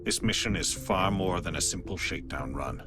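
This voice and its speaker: An actor playing a composed, watchful alien agent in a video game, speaking in a measured, formal, probing way. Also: male voice, sits low, loud music behind the voice, close to an everyday voice. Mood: grave, measured. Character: composed, watchful alien Spectre agent, a male outsider evaluating humans. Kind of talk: video game cutscene acting.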